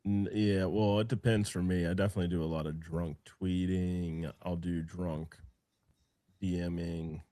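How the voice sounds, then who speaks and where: A man co-hosting a podcast, talking in a deadpan, mumbling way as he teases his friends in casual banter. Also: sits low, measured pace.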